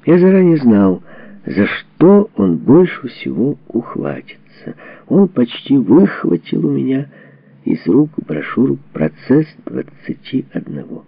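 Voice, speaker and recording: A man reading a memoir as a scripted audiobook narration, with measured, dramatic phrasing and expressive delivery. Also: medium pitch, gravelly, breathy edge, no room sound. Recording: dull, muffled lo-fi audio.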